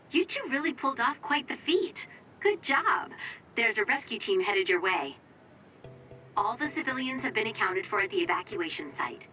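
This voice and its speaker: A woman's voice: a little high, pushed and bright, poor audio. A woman voicing an upbeat mission operator reporting in, in an English anime game dub. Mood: relieved.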